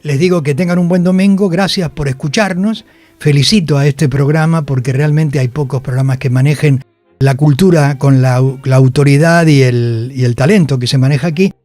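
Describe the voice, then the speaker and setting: Higher-pitched Argentine man, radio interview guest. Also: steady tone, normal speed, darkish tone, no echo, faint music behind. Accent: Buenos Aires Argentine. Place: radio studio.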